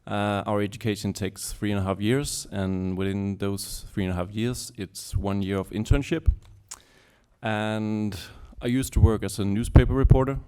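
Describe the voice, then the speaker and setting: A man's voice: fairly low pitch, steady tone, normal speed, dark tone, smooth. A man, a teacher, introducing himself informally to an audience. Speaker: journalism teacher.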